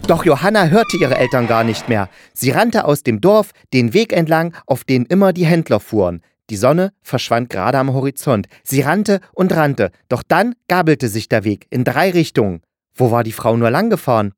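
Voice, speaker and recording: A man's voice: medium pitch, big pitch swings, moderate pace, no room sound, studio quality. A man narrating a scripted fairy tale for children as the storyteller.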